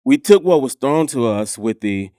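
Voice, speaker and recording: A man's voice: mid-range voice, animated, moderate pace, warm tone, dry recording, clean studio sound. Laid-back man musing on a podcast. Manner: laid-back, thinks aloud, swears casually. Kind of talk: casual chat.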